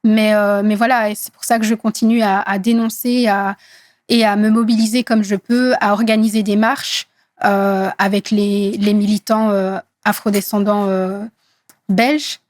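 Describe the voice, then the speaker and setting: A woman in her thirties from Congo whose first language is Swahili, speaking earnestly in an interview with many hesitant pauses. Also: mid-range voice, level tone, no echo, studio quality. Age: 30s. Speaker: guest activist. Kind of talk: interview conversation.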